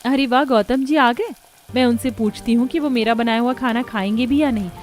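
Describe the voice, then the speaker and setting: A woman's voice: fairly high pitch, fairly quick, natural voice. A woman playing a meek, hopeful young bride in a cartoon story, speaking politely and plaintively.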